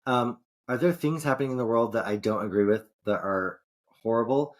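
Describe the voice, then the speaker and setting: A man's voice: mid-range voice, fairly quick. A male podcast co-host with a mid-range voice, talking in a loose, rambling way. He swears freely and keeps restarting his thoughts. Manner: rambling, profane, frequent restarts. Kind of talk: casual podcast chat.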